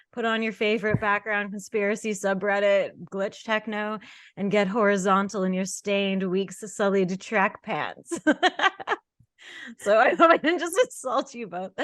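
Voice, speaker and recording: Woman podcast host, playful + teasing, laughs a lot, mid-pitched, very dry sound. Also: a bit bright, no echo. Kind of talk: casual podcast chat.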